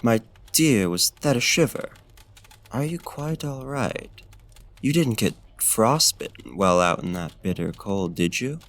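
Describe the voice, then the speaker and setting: Male actor as seductive vampire host, very slow, creaky voice, formal archaic courtesy, gentle menace, intimate ASMR roleplay. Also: animated. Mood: attentive, hospitable. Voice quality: low, gravelly.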